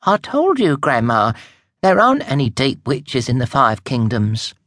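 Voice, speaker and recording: A man's voice: medium pitch, huge pitch swings, measured pace, a bit bright, no echo, studio quality, voice pushed up. A man reading aloud and voicing an exasperated woman witch speaking to her grandmother.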